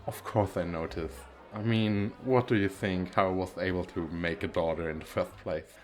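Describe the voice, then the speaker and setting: A man playing a gentle, awkward single dad who flirts shyly and hesitantly in an ASMR roleplay. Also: deep voice, steady tone, normal speed, lightly acted. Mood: shy, amused. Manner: hesitant, self-deprecating, soft chuckles.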